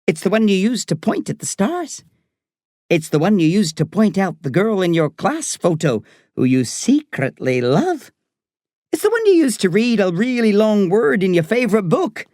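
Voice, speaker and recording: A man voicing a kindly, cheeky Irish woman doctor in her late fifties for an audiobook, brisk and playful. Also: medium pitch, sing-song, a little mellow, no room sound, studio quality, pitched above the performer's own voice, lightly acted. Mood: playful, encouraging. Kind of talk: audiobook character voice.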